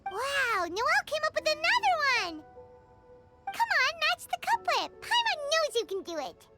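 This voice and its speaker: Super high squeaky fairy girl, chirpy and excitable, game cutscene. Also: a little slow, over loud music, full character voice. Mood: eager. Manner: chirpy, talks about herself in third person. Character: tiny floating fairy girl companion, excitable. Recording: loud background noise.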